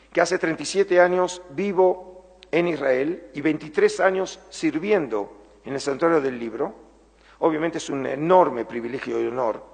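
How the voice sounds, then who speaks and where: A middle-aged Argentine man giving an earnest public lecture, measured and slowing for emphasis. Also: on the high side, gravelly, darkish tone, slightly rough. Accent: Argentine Spanish. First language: Spanish. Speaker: lecturer, museum curator. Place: large former synagogue hall. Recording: long hall reverb.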